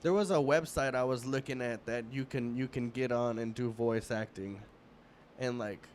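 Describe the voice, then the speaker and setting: Relaxed male host, mid pitch. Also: moderate pace, a little hiss or hum. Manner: relaxed, rambling, many restarts and fillers. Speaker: podcast host. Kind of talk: casual interview chat.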